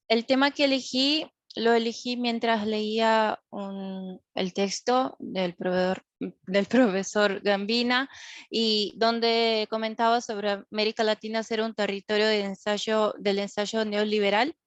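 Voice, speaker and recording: A woman's voice: mid-range voice, steady tone, takes it slowly, dry recording. Non-native woman presenting, dull lo-fi audio. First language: not Spanish. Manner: careful, slightly hesitant delivery. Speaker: student presenter. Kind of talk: class presentation over video call. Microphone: video call microphone. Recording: dull lo-fi video-call audio.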